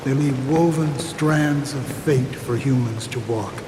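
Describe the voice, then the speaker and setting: An actor playing a solemn, cryptic man in a video game cutscene, speaking gravely and poetically with deliberate phrasing. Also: medium pitch, noisy background, close to an everyday voice. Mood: solemn, foreboding. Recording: echoing hall-like reverb with music.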